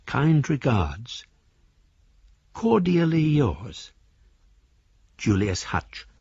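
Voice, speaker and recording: An actor playing a philosophical fugitive embezzler in a British radio drama, a mannered gentleman with a British accent reading his formal, ornate letters aloud. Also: mid-range voice, very animated, extremely slow, gravelly, husky, dry recording, muffled recording, exaggerated voice. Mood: courteous, hesitant.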